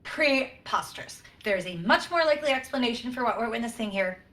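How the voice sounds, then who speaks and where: A woman's voice: fairly high pitch, brisk. A woman playing an eager, quirky, chatty starship science officer in a sci-fi comedy play. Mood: cheerfully earnest. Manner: excitable, chatty.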